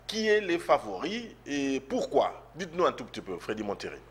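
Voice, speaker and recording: A man interviewing a guest, asking measured questions with some fillers. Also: medium pitch, wildly swooping pitch, slight background noise.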